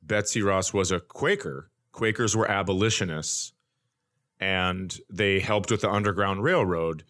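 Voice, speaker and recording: A middle-aged American man telling stories casually and comically, with an animated, blunt, ranting manner and some swearing. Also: low voice, measured pace. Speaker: podcast host, comedian. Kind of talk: casual comedic storytelling.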